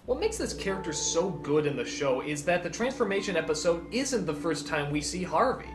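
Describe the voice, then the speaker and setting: Male reviewer, lively swinging pitch, emphatic comedic countdown narration, background music. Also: a little fast. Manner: animated, emphatic, exclamatory, profane. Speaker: video reviewer and countdown host.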